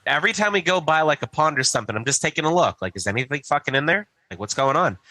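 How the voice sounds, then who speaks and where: A man's voice: on the high side, expressive, very fast, pushed and bright. An American man from Pittsburgh telling casual anecdotes as a podcast co-host. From Pittsburgh, USA. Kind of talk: casual chat, storytelling.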